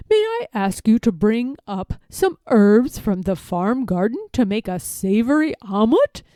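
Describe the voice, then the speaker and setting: A woman voicing a suave, sly gentleman fox in a children's storybook, formal and smooth-talking with a bossy streak. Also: mid-range voice, no echo, clean studio sound.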